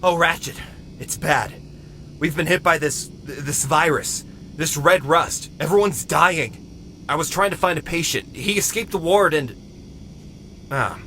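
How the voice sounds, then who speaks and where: A man's voice: on the high side, measured pace, pauses often, bright, forceful tone, slight background noise. A man playing an anxious, overwhelmed robot medic in an audio drama, speaking in a hesitant, fretful way. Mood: worried, rattled.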